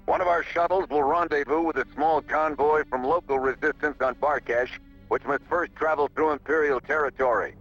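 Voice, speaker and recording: An actor playing a steady, commanding rebel general briefing his pilots in a military style, in a video game. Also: fairly high pitch, moderate pace, lightly acted. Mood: firm, briefing. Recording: dull lo-fi game audio, faint music behind.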